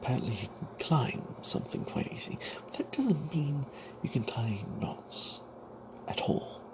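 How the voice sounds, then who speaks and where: A man narrating a first-person fantasy story as ASMR in a breathy whisper, hushed and halting, with wry asides. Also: medium pitch, expressive. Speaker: storyteller narrating own tabletop character. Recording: noticeable background noise.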